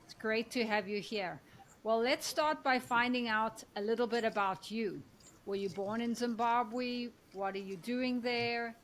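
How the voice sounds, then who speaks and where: A woman's voice: a little high, unhurried, slight background noise. A woman hosting a conversational interview, putting questions to a guest. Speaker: podcast host interviewing a guest.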